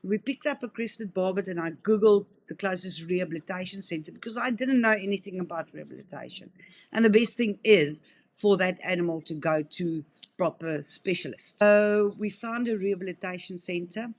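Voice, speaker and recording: A woman's voice: mid-range voice, a little fast. A South African woman in her fifties who works in wildlife rehabilitation answers interview questions in an earnest, talkative way, often restarting her sentences. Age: 50s.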